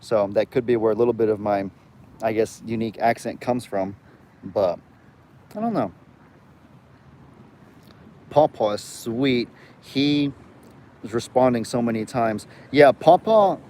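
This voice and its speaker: A man's voice: medium pitch, mellow, a little hiss or hum. Young man with a light Southern drawl. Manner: rambling, chatty, reads viewer comments aloud. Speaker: livestream host. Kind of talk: casual live chat.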